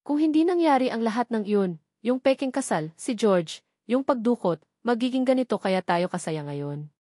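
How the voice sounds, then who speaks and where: A woman voicing a tender, devoted grown husband in a storybook romance, soft and earnest. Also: a little high, animated, moderate pace, no echo, studio quality.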